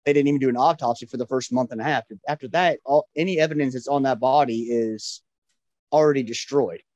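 A man in his thirties chatting casually on a video call headset, talking quickly and repeating words as he goes. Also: medium pitch, darkish tone, no room sound, muffled recording. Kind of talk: casual chat.

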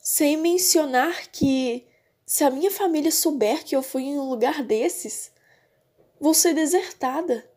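A woman's voice: high voice, a little slow, darkish tone. A woman with a Brazilian accent voicing a jealous, prim, panicky rich teenage girl in a manga fan dub. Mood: haughty, insistent. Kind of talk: dramatic fan dub dialogue.

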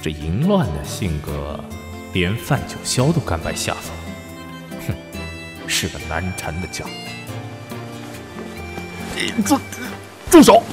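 Flustered young prince regent, played by a man, wildly swooping lowish voice, stammering costume radio drama, reverberant with music. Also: wildly swooping pitch, unhurried, full character voice. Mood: flustered, alarmed. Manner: stammering, theatrical, exasperated. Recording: echoey reverb with music behind.

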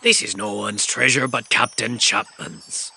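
An actor playing Captain John, a jolly bearded pirate captain, in a cartoon with music behind. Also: male voice, fairly low pitch, steady tone, measured pace, creaky, bright, forceful tone, a little hiss or hum, exaggerated voice. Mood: hearty.

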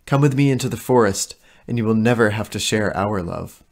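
An actor playing a seductive, menacing fae creature in an audio play, coaxing and taunting. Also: male voice, lowish voice, brisk, creaky.

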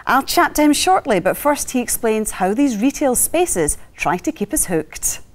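A middle-aged woman presenting a television news programme from a studio on a lapel mic, reading links and asking questions in a crisp, measured way. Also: fairly high pitch, big pitch swings, noisy background. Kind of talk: scripted news presenting and interviewing.

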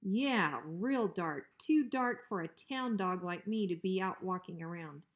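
A woman's voice: on the low side, measured pace. A woman plays a big, sloppy bully of a Rottweiler in a storybook. He taunts in folksy slang and mocks with menace. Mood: mock innocent. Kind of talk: children's storybook reading.

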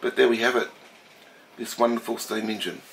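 A middle-aged Australian man with a nasal, stuffed-up voice, narrating a casual collector's video from his workshop, slow and thoughtful with many fillers. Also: mid-range voice, level tone, gravelly, fairly bright tone, slight background noise, low-quality recording. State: recovering from a head cold. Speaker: steam engine collector. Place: home workshop room.

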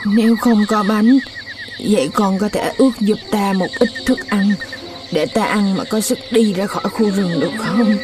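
A woman's voice: mid-range voice, moderate pace, lightly acted. A woman acting a scheming, hungry old woman, distant-sounding. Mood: pleading. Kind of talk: children's cartoon voice acting. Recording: distant voice under clear music.

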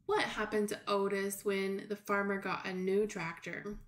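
A middle-aged woman teaching young children as a preschool teacher, explaining things simply with hesitant pauses. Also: mid-range voice, flat delivery. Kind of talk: read-aloud lesson for young children. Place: small room at home, indoors. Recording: roomy, echoey home recording.

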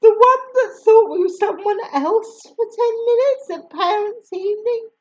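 A woman's voice: extremely high pitch, measured pace, highs cut off, voice pushed up. A woman voicing a caring, fussing, indignant mother in a storybook reading. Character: worried caring mum.

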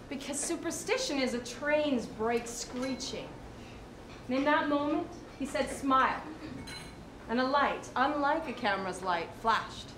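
A woman's voice: high-pitched, roomy sound, noisy background. A woman giving a dramatic reading of a short story, recorded live at an indoor venue. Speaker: drama student or college staff reader.

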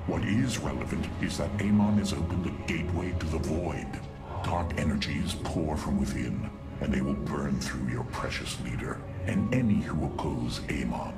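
An actor voicing an arrogant, contemptuous alien warlord in a video game, haughty and menacing. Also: male voice, very deep voice, expressive, normal speed, gravelly, over loud music, heavily acted. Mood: grim urging. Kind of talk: video game character acting.

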